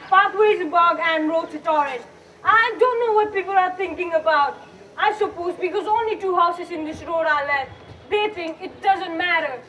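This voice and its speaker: A grumbling but hospitable family father played by a young woman in an amateur stage play. The voice is high, and it is picked up from a distance over clear background noise. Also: moderate pace, sharp tone. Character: grumbling, hospitable middle-aged father and husband. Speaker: student actor in a school play. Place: school stage, recorded from a distance. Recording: distant noisy school stage recording.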